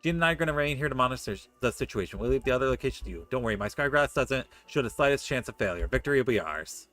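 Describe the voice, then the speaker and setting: A man's voice: medium pitch, lively intonation, racing, a bit bright, soft background music. A man streaming a video game, casually commenting and reading dialogue aloud.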